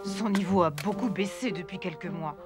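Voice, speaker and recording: A woman's voice: lowish voice, moderate pace, lightly acted. A woman playing a firm, caring elephant mother in a French cartoon. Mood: stern. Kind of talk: French cartoon dub acting. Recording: music behind the voice.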